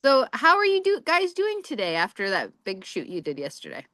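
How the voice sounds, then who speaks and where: A woman's voice: fairly high pitch, wildly swooping pitch, a little fast, bright, forceful tone, no echo. A woman interviewing a guest in a bubbly, chatty way, laughing often. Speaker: magazine interviewer. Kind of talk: casual interview chat.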